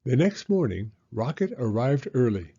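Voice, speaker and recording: A man reading a children's picture book aloud as an expressive narrator. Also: mid-range voice, normal speed, creaky, slightly rough, dull audio. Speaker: storybook reader.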